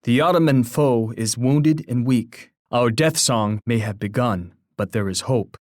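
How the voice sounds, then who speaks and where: Man playing a noble war chief, mid-pitched, solemn declamation, poetic and formal, video game ally, dry studio sound. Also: no echo, natural voice. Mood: grave, hopeful. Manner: measured, grave, formal declamation. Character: solemn, dignified Iroquois war leader, a loyal ally. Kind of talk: scripted game voice lines, formal poetic oratory.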